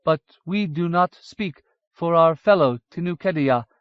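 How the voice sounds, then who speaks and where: A man's voice: a little high, clean tone, dry recording, pitched above the performer's own voice, lightly acted. A man doing a timid, reluctant cave-dweller. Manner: slow and reluctant. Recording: dull dry audiobook recording.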